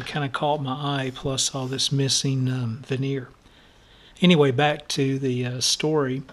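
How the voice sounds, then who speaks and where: A man hosting a radio restoration channel, talking casually to viewers in a slightly scratchy, soft voice and stopping often on uh and um as he tells stories. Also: mid-range voice. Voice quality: halting, many uh and um fillers. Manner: friendly, storytelling. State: scratchy, somewhat soft voice from allergies. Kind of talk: casual show-and-tell talk to viewers.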